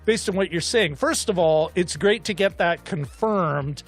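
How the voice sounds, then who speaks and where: A man co-hosting a film discussion show, chatting casually in a rambling, animated way as he thinks aloud. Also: sits high, a little slow, faint music behind. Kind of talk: casual chat.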